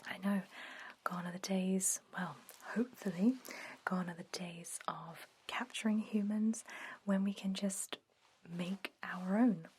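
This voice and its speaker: A woman playing a chatty, bossy vampire lab worker who trains a newcomer, speaking in a soft whisper as ASMR roleplay. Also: mid-range voice, steady tone, slow, husky. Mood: chatty, enthused. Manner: softly spoken, instructive, conversational.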